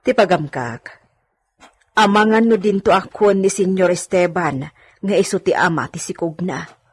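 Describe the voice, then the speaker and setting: Woman acting a slightly husky, outspoken housemaid. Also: fairly low pitch, normal speed. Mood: worried, gossiping. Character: concerned, outspoken female housemaid, confidante to her mistress. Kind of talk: Ilocano radio drama acting.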